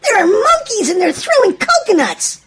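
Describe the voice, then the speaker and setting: A woman voicing a hungry, excitable little boy adventurer, exclaiming dramatically in a children's storybook read-aloud. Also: very high voice, normal speed, exaggerated voice. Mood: alarmed.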